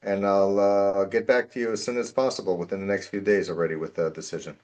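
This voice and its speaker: A man with a very low voice and an American accent, speaking over a video call from his home office. He talks in a measured way, often repeating a word while he looks for the right phrase. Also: steady tone, darkish tone. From Israel. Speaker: potential client. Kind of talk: casual video call conversation.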